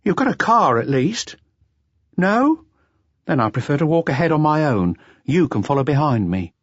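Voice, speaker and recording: A man reading an audiobook, putting on the voice of a brash, quick-tempered young woman who is a dancer, speaking high and shrill. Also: big pitch swings, husky, dry recording. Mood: sulky defiant. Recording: dull dry lo-fi recording.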